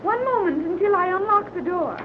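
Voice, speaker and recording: A woman's voice: extremely high pitch, lively intonation, fairly quick, noisy background. A woman playing an eager young radio operator who keeps asking questions, in 1930s radio acting. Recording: dull lo-fi 1930s radio recording.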